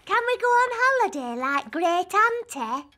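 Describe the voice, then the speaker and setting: A woman voicing an earnest, demanding little princess in a childlike, halting preschool cartoon voice. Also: very high-pitched, big pitch swings, sharp tone, no echo, full character voice. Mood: eager. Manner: slow, simple, halting child speech.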